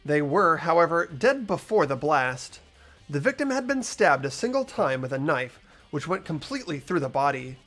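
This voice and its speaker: A man's voice: a little high, big pitch swings, moderate pace, a bit bright. A man voicing an earnest, inquisitive teenage schoolboy who narrates his detective work in a visual novel game. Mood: earnest, thoughtful. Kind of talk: acted visual novel dialogue and inner narration. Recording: music behind the voice.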